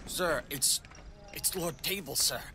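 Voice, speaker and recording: An actor playing a frightened Imperial lieutenant in a sci-fi audio drama, anxious and stammering. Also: male voice, on the high side, slow pace, pushed and bright, a little hiss or hum, full character voice. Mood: nervous. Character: nervous, frightened young male Imperial lieutenant.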